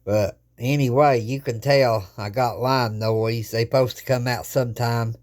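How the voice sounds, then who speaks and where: A man's voice: medium pitch, fairly even intonation, measured pace, a little mellow. A man who runs ham radio, casually showing off his gear at his home radio desk in a folksy, rambling way, recorded on a handheld phone camera. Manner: rambling, folksy, plain-spoken with many restarts.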